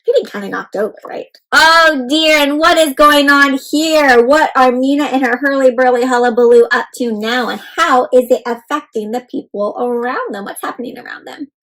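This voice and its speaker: A high-pitched woman storyteller reads a picture book for young children. She is expressive, often turning to her listeners with questions and punctuating the tale with exclamations and playful sound effects. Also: normal speed, few pauses, low-quality recording. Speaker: children's read-aloud storyteller. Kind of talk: interactive picture-book reading for kids.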